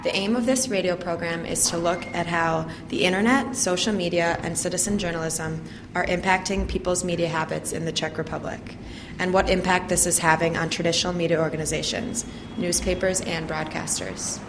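A woman's voice: fairly low pitch, fairly even intonation, fairly quick, background noise. A young woman student reading a radio script aloud. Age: young adult. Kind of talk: scripted narration.

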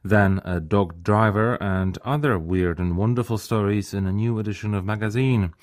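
A man presenting a radio magazine show, reading a scripted introduction in a measured announcer delivery. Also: fairly low pitch.

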